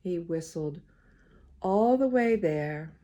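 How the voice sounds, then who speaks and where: Slow-paced woman reading to young children. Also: fairly low pitch, muffled recording. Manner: expressive, gentle pauses, talks to young children. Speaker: storybook reader, school well-being coordinator. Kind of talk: children's picture book read-aloud with questions to listeners.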